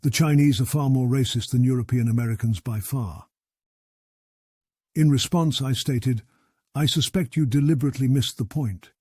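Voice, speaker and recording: A man from Africa narrating a scripted commentary video in a measured, formal, argumentative way. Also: medium pitch, darkish tone, slightly rough, no echo, clean studio sound.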